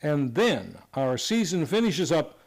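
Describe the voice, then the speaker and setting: Male promo announcer: fairly high voice, unhurried, showy delivery of scripted theatre copy. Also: big pitch swings.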